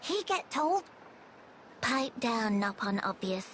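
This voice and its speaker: A woman's voice: fairly high pitch, sing-song, slow pace, a little hiss or hum. A woman voicing a small, plucky, cheeky creature in a video game, speaking in broken grammar. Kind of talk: animated video game acting.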